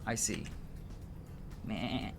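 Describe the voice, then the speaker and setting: A man's voice: on the low side, extremely slow, creaky, soft background music. A man doing casual let's-play commentary, informal and sweary, reading game dialogue aloud as he plays. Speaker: game streamer.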